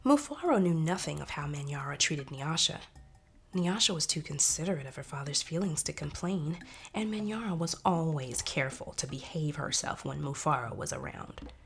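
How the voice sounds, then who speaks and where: Female storybook narrator: lower register, expressive and animated, careful phrasing for children. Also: normal speed, creaky.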